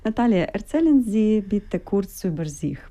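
A woman's voice: mid-range voice, sing-song, moderate pace, dark tone. A woman hosting a live radio show from a studio, welcoming listeners and her guest formally and kindly. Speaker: radio host.

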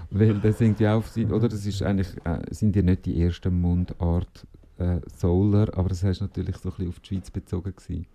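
Deep dark-voiced host. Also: male voice, steady tone, a little fast. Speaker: radio host. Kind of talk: conversational radio interview.

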